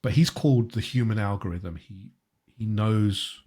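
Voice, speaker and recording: A man hosting a football podcast in casual, conversational talk, with a husky voice and a halting way of repeating words. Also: on the low side, darkish tone.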